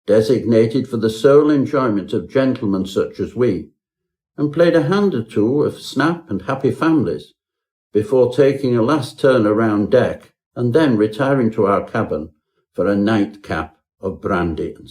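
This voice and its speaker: Male audiobook narrator, low and very mellow, theatrical delivery for mock-Victorian ghost fiction. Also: a little slow. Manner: ornate, theatrical storytelling. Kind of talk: scripted narration, parody Victorian ghost story.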